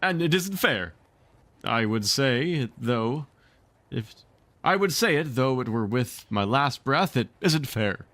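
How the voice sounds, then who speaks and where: A man's voice: mid-range voice, lively intonation, slow pace, fairly bright tone, dry recording, pitched above the performer's own voice. A man voicing a vain, cowardly middle-aged storybook father with pompous, indignant delivery.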